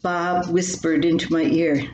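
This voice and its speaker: A woman's voice: fairly low pitch, level tone, measured pace, darkish tone. An older woman reading her own humorous personal column aloud, with a wry, dramatic storytelling manner. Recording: roomy echo with clear background noise.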